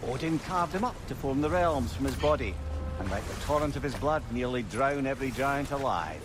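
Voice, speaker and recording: An actor playing a talkative, learned old counsellor in a video game, with an eloquent, theatrical way of talking. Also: male voice, mid-range voice, lively intonation, a little fast, noisy background, natural voice. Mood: wry storytelling. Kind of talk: video game dramatic acting.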